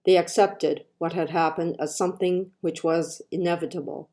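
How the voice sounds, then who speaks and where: A woman's voice: lowish voice, fairly even intonation, normal speed, mellow. Older woman reading her personal essay. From Canada. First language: Japanese. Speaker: artist.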